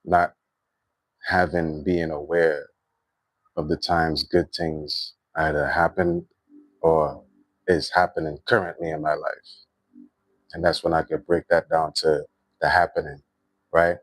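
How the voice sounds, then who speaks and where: A man with a Trinidadian accent hosting a livestream, talking in a reflective, unhurried way with lots of "you know" and "right". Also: extremely low pitch, little pitch movement, no echo, low-quality recording. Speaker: livestream host. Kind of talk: spiritual livestream monologue.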